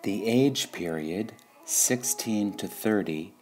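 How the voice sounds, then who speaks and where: A man reading spiritual sayings aloud in a calm, measured way, pausing between phrases. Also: fairly low pitch. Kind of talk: slow meditative reading of quotations.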